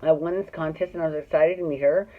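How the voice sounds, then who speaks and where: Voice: medium pitch, steady tone, quick pace. A middle-aged person with a Californian accent telling personal stories casually, in a catty, dramatic and sweary way. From Glendale, California, United States. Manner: catty, dramatic, profane, acts out dialogue. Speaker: storyteller host of own show. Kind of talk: casual personal storytelling to camera. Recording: muffled phone-like narrow-band audio.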